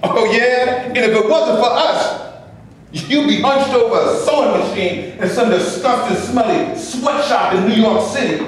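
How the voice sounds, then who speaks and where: A man's voice: very high-pitched, measured pace. A man playing an indignant, sarcastic, defiant movie actor in a staged reading, with a mocking, exclamatory delivery. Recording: echoey live room, distant camera, noisy.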